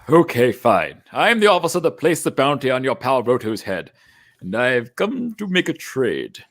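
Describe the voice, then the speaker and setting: A man's voice: medium pitch, sing-song, normal speed, a bit bright. A man playing a cocky, wheedling military officer and dealmaker, smug and theatrical, at a comedic table read. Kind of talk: comedic live table read of a fan script.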